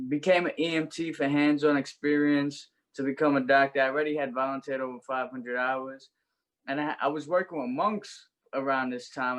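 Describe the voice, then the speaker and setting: A young man talks through his life story in a sincere, reflective way. His voice sits at a middle pitch for a man. He speaks as a guest on an interview podcast. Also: fairly bright tone, rough recording. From Brooklyn, New York.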